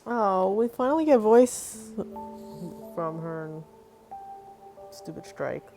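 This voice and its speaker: A woman's voice: medium pitch, animated, slow pace, mellow. A woman giving casual, muttered commentary as she plays through a video game. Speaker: gameplay streamer. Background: game music.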